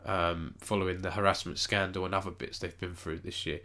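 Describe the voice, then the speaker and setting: A man's voice: low voice, level tone, brisk, bright, forceful tone. Nasal man with a cold. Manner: rambling, self-correcting, chats with viewers. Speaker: esports news host. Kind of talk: casual live-stream news roundup.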